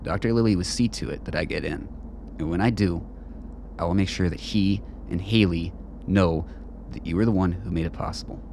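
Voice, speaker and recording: A man playing a proud old soldier in a radio play, with a very low voice. He speaks formally and carefully, keeping his guard up, over faint noise. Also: creaky, darkish tone. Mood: earnest, persuading. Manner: measured, formal. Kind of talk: radio drama acting.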